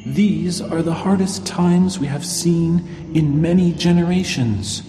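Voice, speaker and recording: Man voicing a stern old shaman, raised pitch. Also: takes it slowly, warm tone. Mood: stern, reproachful. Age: older. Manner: solemn, commanding, rhetorical questions. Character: stern old male shaman rebuking his village. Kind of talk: oral storytelling of a traditional tale. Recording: long hall reverb, clear music underneath.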